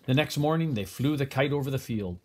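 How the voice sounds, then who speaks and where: An older man reads a children's picture book aloud as a grandfather would, with expressive, deliberate phrasing. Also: mid-range voice, breathy edge. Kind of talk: storybook read-aloud.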